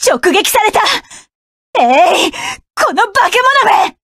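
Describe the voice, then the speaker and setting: A woman's voice: very high voice, big pitch swings, slow, fairly bright tone, no room sound, studio quality, full character voice. A woman voicing a proud, fierce young woman mech pilot who barks curt, commanding and scornful lines. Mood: desperate, furious. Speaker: voice actress. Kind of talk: game voice clip collection.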